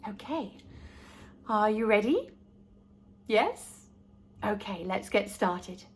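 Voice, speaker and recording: A woman reading a children's story aloud as host, speaking directly to young listeners in a deliberate way. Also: medium pitch, expressive.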